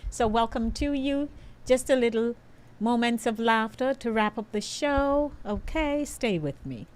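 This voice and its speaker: A middle-aged woman hosting a call-in radio show, chatty and quick to laugh. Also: fairly high pitch, animated. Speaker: radio host.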